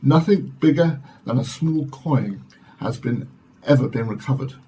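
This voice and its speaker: A man plays a measured academic in a sci-fi radio drama. His mid-pitched voice is rough and breathy, and he argues formally and earnestly. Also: dark tone. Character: measured Oxford scientist defending alien visitors. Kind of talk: radio play acting as studio discussion.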